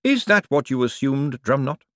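A man reading aloud and voicing a cold, calculating ruler who speaks slowly with icy politeness. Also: medium pitch, sing-song, a bit bright, no room sound, clean studio sound, voice pushed up. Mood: coolly polite. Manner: slow, measured, icily polite. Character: cold, silkily menacing male ruler, patient tyrant.

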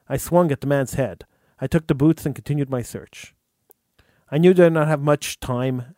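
A man, the host, reading a true story aloud in an earnest, measured way, with an occasional stumble over words. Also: mid-range voice, a little mellow, dry recording, clean studio sound. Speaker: radio host.

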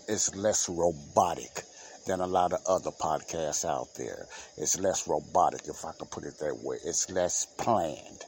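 A man's voice: low voice, slight background noise. A man hosting his own unedited solo podcast, talking loosely with lots of fillers and repeats, his nose stuffy and congested. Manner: rambling, filler-heavy, repeats himself. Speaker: podcast host. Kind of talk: unscripted solo podcast talk. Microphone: home podcast mic, unedited.

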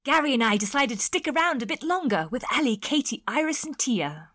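A woman's voice: sits high, animated, brisk, a bit bright. A woman playing an earnest adventurer in an audio drama, telling events as a dramatic story. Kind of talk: audio drama narration in character.

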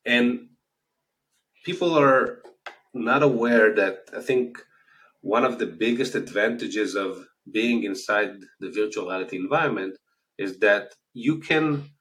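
A man's voice: fairly low pitch, measured pace, fairly bright tone, rough recording. A man in his forties from Israel with an Israeli accent, hosting a casual solo podcast in an earnest, explanatory way with frequent hesitations. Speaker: podcast host.

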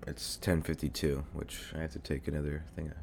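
A young man with a very deep voice, made hoarse by a cold, hosts his solo podcast. He rambles casually, swears freely and fills gaps with ums. He sounds chesty and congested, recorded on a desk mic at home. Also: level tone, a little fast, darkish tone, a little hiss or hum. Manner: rambling, swears often, many fillers. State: sick, congested and coughing. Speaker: podcast host. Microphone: desk mic near a computer.

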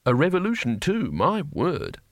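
A man's voice: fairly high pitch, huge pitch swings, measured pace, no room sound, clean studio sound, voice pushed up, full character voice. A man voicing a nervous, doubtful wizard in an audiobook story, hesitant and wryly sarcastic as he questions things. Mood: uneasy, sarcastic.

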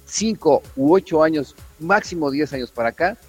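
A man's voice: fairly high pitch, expressive, moderate pace, a little mellow, slightly rough, soft background music. A man with a Mexican accent co-hosting a casual morning radio chat. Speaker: radio show co-host and correspondent.

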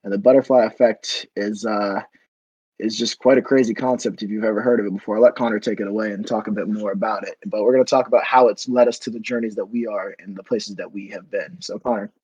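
A man's voice: on the low side, steady tone, quick pace, darkish tone, slightly rough, dry recording, low-quality recording. A man in his twenties hosting a casual podcast, talking loosely with many fillers. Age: 20s. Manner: rambling, filler-heavy. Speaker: podcast host. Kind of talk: casual chat.

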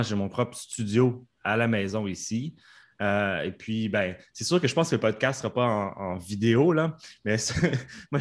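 Hesitant Canadian French man, low voice. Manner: hesitant, many fillers and restarts. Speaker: interpreter. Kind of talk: podcast interview. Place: home studio.

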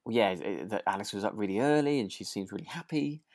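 A woman with a distinctly low voice chats as a podcast co-host. She is reflective and a little tentative, and the recording is dry, with little room sound. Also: expressive, fast, a little mellow, no echo. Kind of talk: relaxed radio drama recap chat.

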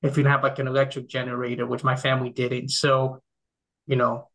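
Bright-voiced man from the Dominican Republic. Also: medium pitch, completely flat delivery, fairly quick. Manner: thoughtful, many fillers and restarts. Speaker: podcast guest, game critic. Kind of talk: casual conversational interview.

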